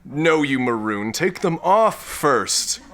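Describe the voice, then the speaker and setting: Pompous, swooping space-lord dandy. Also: male voice, fairly high pitch, big pitch swings, slow, fairly bright tone, full character voice. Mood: panicked, bossy. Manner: florid, grandiose, theatrical. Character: pompous lecherous male space lord, vain cowardly dandy. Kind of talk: comic sci-fi audio drama acting.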